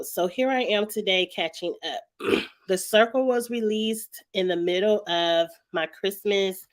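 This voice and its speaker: Chatty woman with a slightly raspy, congested voice. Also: medium pitch. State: lingering cold with sinus congestion, clearing throat often. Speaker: YouTube show host reviewing reality TV. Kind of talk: casual vlog commentary to viewers.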